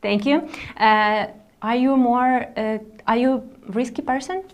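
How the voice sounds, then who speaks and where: Woman co-host on a webinar, kinda high voice, friendly, short questions. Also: slow pace, muffled recording. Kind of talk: hosting a webinar interview.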